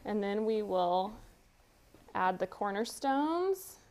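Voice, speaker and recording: A woman's voice: mid-range voice, very animated, slow, a little mellow, clear, resonant tone. A woman hosting a live quilting demonstration, chatty and giggly, explaining each step as she sews. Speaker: quilting tutorial host.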